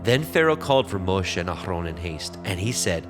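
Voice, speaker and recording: A man narrating scripture from a script in a measured, formal manner. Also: deep voice, very animated, music in the background.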